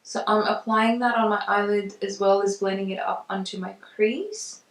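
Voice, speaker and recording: A woman's voice: mid-range voice, animated, normal speed. Woman chatting through makeup, roomy echo. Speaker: makeup vlogger. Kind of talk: casual makeup tutorial chat. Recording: roomy echo, distant mic.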